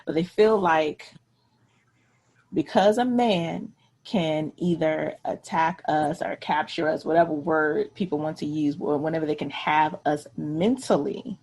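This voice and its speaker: A woman with a slightly low voice and plenty of vocal fry hosts a frank radio chat. She is conversational and slangy, putting questions casually and backing up what her guest says. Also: fairly even intonation, a little slow, darkish tone. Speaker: radio talk show host.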